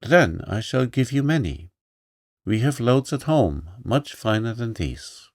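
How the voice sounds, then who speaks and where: A man's voice: medium pitch, very animated, a little slow, dry recording, pitched above the performer's own voice. Man playing a bossy rich little girl, eager, commanding, lifted voice, dry.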